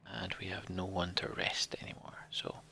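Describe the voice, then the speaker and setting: A man hosting a game playthrough, speaking in a hushed voice as he comments and narrates the story text. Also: sits low, monotone, normal speed, gravelly, whispered. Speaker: let's play host. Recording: game music behind the voice.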